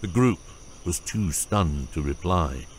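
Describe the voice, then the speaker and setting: Low-voiced male audiobook narrator. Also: lively intonation, slow, slight background noise.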